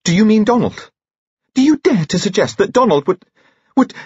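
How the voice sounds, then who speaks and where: A man voicing an excitable, hot-tempered young stepdaughter in an audiobook, exclaiming hysterically. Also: medium pitch, moderate pace, breathy edge, no echo, muffled recording, voice pushed up. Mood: indignant.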